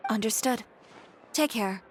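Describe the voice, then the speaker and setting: A woman's voice: fairly high pitch, measured pace, a crowd in the background, background noise, natural voice. A woman playing a proud, composed, strong-willed young fashion designer in a video game, curt and self-assured. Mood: defiant, curt.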